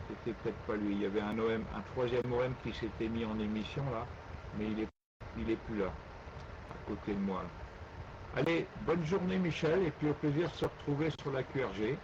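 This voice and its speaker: A man, an amateur radio operator, chatting casually and colloquially in speech, with frequent tag words. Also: fairly low pitch. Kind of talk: casual ham radio chat. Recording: dull lo-fi radio link, loud noise.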